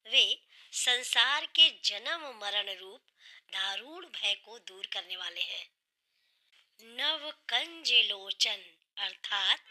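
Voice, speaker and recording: A woman with a sore, hoarse throat, earnestly explaining a devotional hymn line by line and patiently restating each meaning. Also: on the high side, pushed and bright, poor audio. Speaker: devotional singer and channel host.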